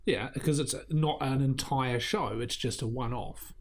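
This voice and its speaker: A man's voice: mid-range voice, a little slow. A man with a New Zealand accent hosting a podcast in casual chat, joking and reading listener emails aloud. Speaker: podcast host.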